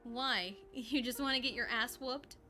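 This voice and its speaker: A woman's voice: a little high, a little slow, pushed and bright, soft background music. A woman casually reading a first-person story aloud on a live stream, informally, with ad-libbed asides and lightly voiced dialogue.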